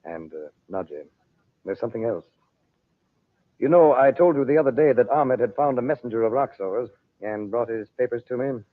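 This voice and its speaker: A man's voice: mid-range voice, lively intonation, moderate pace, lightly acted. Man acting an earnest radio hero, swooping voice. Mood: serious, confiding. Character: earnest, ardent American hero and magician in love. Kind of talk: old-time radio drama acting. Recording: thin, phone-like old radio recording.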